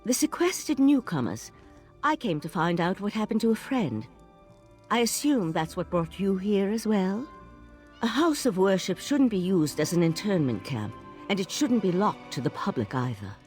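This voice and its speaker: Casting: a sly, inquisitive female game investigator, measured and wry, lively intonation over music. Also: lowish voice. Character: shrewd, secretive investigator, calm and curious. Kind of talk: video game character dialogue.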